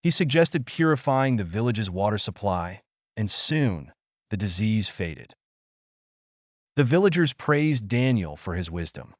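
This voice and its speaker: A man narrating a scripted bedtime story for children in a measured, expressive manner. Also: lowish voice, dry recording.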